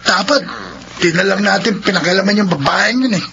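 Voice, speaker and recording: Whiny, high-pitched cowardly sidekick. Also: male voice, a little fast, vocal fry. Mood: panicky, pleading. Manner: stammering, whining. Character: cowardly, panicky criminal sidekick, pleading and whiny. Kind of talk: radio drama acting. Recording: dull, noisy radio drama audio.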